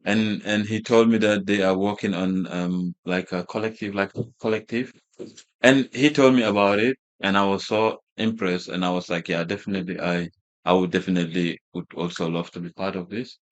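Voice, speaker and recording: A Gambian man whose first language is Wolof talks through a casual interview in a fairly low voice. He feels his way along with lots of fillers, repeats and restarts. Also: low-quality recording. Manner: hesitant, many fillers and restarts. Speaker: fashion brand founder, guest.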